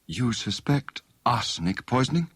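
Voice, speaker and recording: A man voicing a brisk, confident gentleman detective in an audiobook, authoritative and courteous. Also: medium pitch, very animated, husky, dry recording, pitched above the performer's own voice. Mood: courteous, businesslike.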